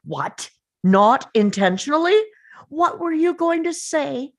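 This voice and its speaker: A woman's voice: high-pitched, very animated, takes it slowly, full character voice. A woman playing a grieving, angry father in a dramatic script read, confrontational and emphatic. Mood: anguished, furious. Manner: confrontational, emphatic, pressing with questions.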